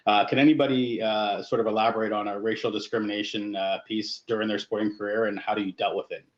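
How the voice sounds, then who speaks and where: A fairly low-voiced man moderates a panel, pausing often with "uh" and "you know". His voice rings in a roomy space, and the recording sounds dull and lo-fi. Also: flat delivery. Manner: hesitant, many fillers. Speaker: guest host and coach.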